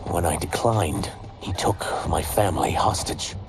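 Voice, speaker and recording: An actor playing a remorseful reptilian shapeshifter and outcast who speaks in an earnest, subdued way. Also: male voice, low-pitched, expressive, measured pace.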